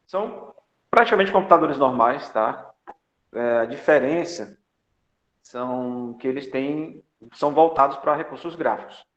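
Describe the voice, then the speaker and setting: Man lecturing in an echoey room. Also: mid-range voice. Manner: conversational, many fillers and tag questions; jokey asides. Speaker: computer architecture lecturer. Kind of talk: online lecture to students. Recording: echoey, dull lo-fi lecture audio.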